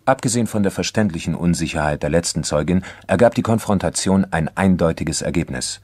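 A man narrating a crime documentary drama from a script in a formal, measured manner. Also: deep voice, darkish tone, breathy edge, no echo.

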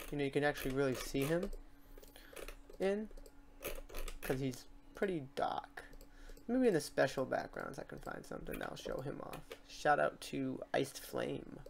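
A tutorial host walking through software steps in an informal way, thinking aloud while demonstrating. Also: medium pitch, expressive, normal speed, vocal fry, a little hiss or hum. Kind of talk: casual screen-recorded software tutorial.

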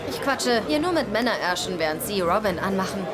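A woman's voice: mid-range voice, sing-song, brisk, a loud crowd, natural voice. An actress dubbing a witty, teasing young woman in a German sitcom. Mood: wry. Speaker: dub actress.

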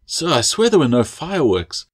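Man doing a nervous young girl apprentice, raised pitch. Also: sing-song, normal speed, breathy edge. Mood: nervous, apologetic. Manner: apologetic, flustered, deferential.

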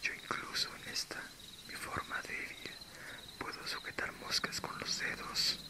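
A man's voice: measured pace, bright, forceful tone. Man playing a whispering, friendly young male werewolf. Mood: modest, friendly. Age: young adult. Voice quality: breathy unvoiced whisper. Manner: casual, unassuming. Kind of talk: whispered ASMR roleplay.

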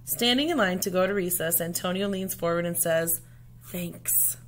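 A woman reading a children's picture book aloud like a teacher, with deliberate, dramatic phrasing. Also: fairly low pitch, rough recording.

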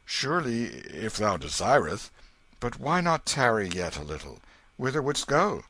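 A man playing a gentle old king. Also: mid-range voice, very animated, unhurried, dry recording, pitched above the performer's own voice, full character voice. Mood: tender. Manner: archaic, soothing, kingly speech. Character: stern aging king, gentle toward his son.

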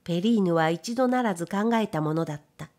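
A woman reading literary fiction as scripted narration, in a measured storytelling manner. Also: on the low side, animated, darkish tone. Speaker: audiobook narrator.

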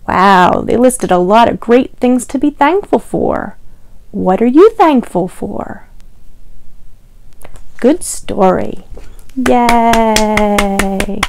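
A woman's voice: medium pitch, expressive, extremely slow, gravelly, long pauses. A young woman leading a children's storytime, reading aloud in a simple sing-song way and asking the little listeners questions. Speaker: children's librarian, storytime host. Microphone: lavalier clipped to T-shirt.

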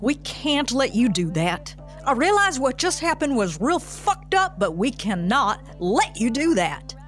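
A woman's voice: sits high, sing-song, measured pace, fairly bright tone, soft background music, full character voice. An actress playing a stubborn, commanding small-town policewoman in an audio drama, bossy and insistent. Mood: firm.

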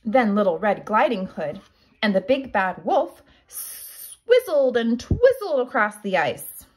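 A woman reads a children's picture book like a kindergarten teacher. Her pace is slow and deliberate. Her pitch moves in lively, expressive swings. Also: slightly rough, rough recording. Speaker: kindergarten teacher reading aloud.

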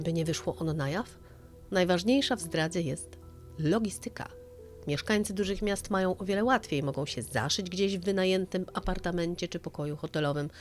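Female narrator, low voice, scripted true-crime storytelling over clear background music.

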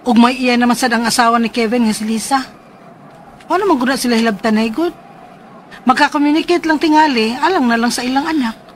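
A woman's voice: a little high, a little fast, a little hiss or hum, natural voice. An actress playing a firm, worried thirty-two-year-old mother in a Cebuano radio drama. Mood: defensive.

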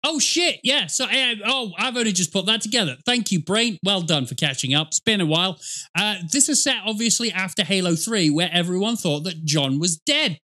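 A man's voice: sits high, animated, a little fast, sharp tone. A man in his thirties giving casual reaction commentary in a sweary, self-mocking way. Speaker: reaction video host, gamer.

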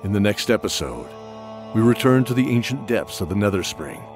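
A man's voice: lowish voice, very animated, brisk. A man narrating a fantasy audio drama in a dramatic scripted style. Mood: announcing. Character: storyteller narrating epic fantasy battles. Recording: loud music under voice. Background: loud music.

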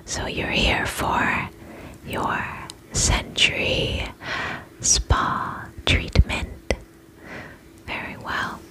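A woman in her twenties doing a soothing, attentive ASMR roleplay as a spa attendant, whispering softly close to the microphone. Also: extremely slow, long pauses. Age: 20s. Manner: soft, soothing, attentive customer-service patter. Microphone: close microphone, face near camera.

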